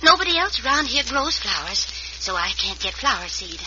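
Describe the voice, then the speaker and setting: A woman's voice: a little high, very animated, moderate pace. An actress playing a kindly, ailing older frontier woman in an old-time radio western. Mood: wistful. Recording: muffled, hissy old radio recording.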